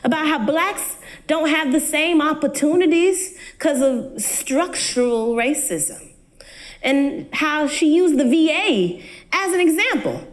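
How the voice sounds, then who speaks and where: Woman acting a high-voiced, slow college girl. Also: lively intonation. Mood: reflective realization. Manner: folksy, earnest, pausing storyteller. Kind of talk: dramatic monologue on stage.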